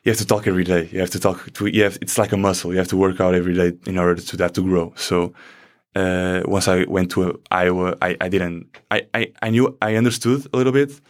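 Low-voiced young man. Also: steady tone. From Portugal. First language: Portuguese. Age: young adult. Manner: halting, restarts phrases, laughs easily. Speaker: student soccer player. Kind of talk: conversational interview answers. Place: campus studio.

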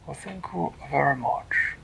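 A man voicing a polite, formal storybook creature, speaking courteously in a raised, breathy voice. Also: fairly high pitch, unhurried, pushed and bright, voice pushed up, full character voice, low-quality recording.

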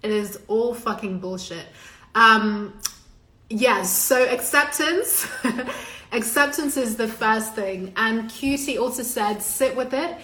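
A woman's voice: on the high side, slow pace, pushed and bright. A woman from Zimbabwe whose first language is Shona, giving a casual, earnest and emphatic motivational talk to a live online audience, swearing freely as a coach would among friends. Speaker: live-stream host and coach. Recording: echoey, reverberant room.

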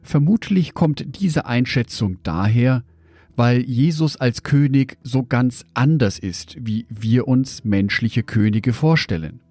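Male devotional host, unhurried and reflective, mid pitch, close dry recording with faint music. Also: a little mellow, dry recording. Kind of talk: scripted devotional narration.

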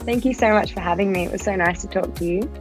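A woman's voice: mid-range voice, quick pace, soft background music. A woman chatting casually as a podcast guest, peppering her loose sentences with "like". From Australia. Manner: loose, heavy use of "like". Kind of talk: casual chat. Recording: roomy, slightly dull sound.

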